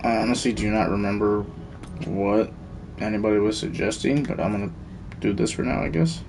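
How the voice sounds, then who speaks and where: A man's voice: fairly low pitch, a little fast, creaky, noisy background. A man giving casual live gameplay commentary, thinking aloud in an informal way. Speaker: game streamer. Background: faint game music.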